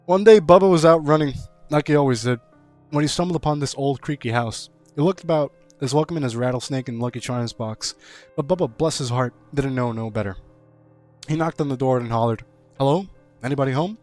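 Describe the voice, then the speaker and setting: A folksy country storyteller, read by a man spins a spooky tall tale in a playful way. The voice is medium in pitch, with faint music underneath. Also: darkish tone, lightly acted. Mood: folksy, animated. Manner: folksy, playful, drawn-out similes.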